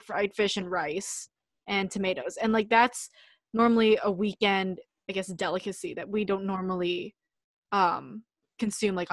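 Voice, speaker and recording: A woman's voice: mid-range voice, no room sound. Reflective woman co-hosting a chat podcast. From United States. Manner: reflective, loose, many "like" fillers. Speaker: podcast co-host.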